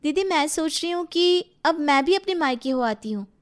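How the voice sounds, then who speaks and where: A woman voicing an innocent, dutiful younger daughter-in-law, earnest and a little plaintive, in an animated family story. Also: high-pitched, moderate pace. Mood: pleading, wistful.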